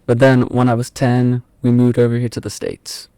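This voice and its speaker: A man's voice: mid-range voice, monotone, moderate pace, warm tone, smooth, dry recording. A young man from England whose first language is Afrikaans, speaking as a podcast guest in a rambling way with many hesitations. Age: young adult. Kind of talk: casual podcast interview.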